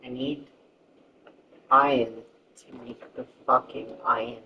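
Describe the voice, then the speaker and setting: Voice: medium pitch, fairly even intonation, slow, recorded from a distance, background noise. A game streamer chatting casually and thinking aloud in a rambling, sweary way.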